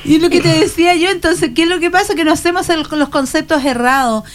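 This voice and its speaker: High-pitched Chilean woman chatting. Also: fairly even intonation, fast, a little hiss or hum. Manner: chatty, illustrates points with acted-out everyday dialogues. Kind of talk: casual conversational discussion.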